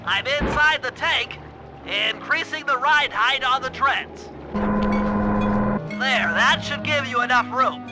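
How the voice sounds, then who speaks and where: Brainy game turtle, very high nasal voice, fussy exact wording, anxious strategist, clear music underneath. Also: male voice, slow pace, long pauses, rough recording. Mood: explaining plan. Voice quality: nasal, thin. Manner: precise, wordy, anxious. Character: nerdy young turtle tech genius, meticulous and cautious planner. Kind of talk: video game cartoon voice acting.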